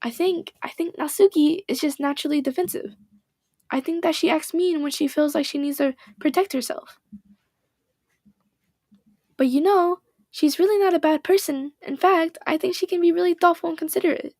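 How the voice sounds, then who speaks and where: A calm, caring teenage girl who runs a club, voiced by a woman. Her voice sits high and soft, reassuring and earnest. A slight hoarseness shows through. The sound is dry and close. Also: normal speed, no room sound. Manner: gentle, reassuring. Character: poised, caring teen girl club president.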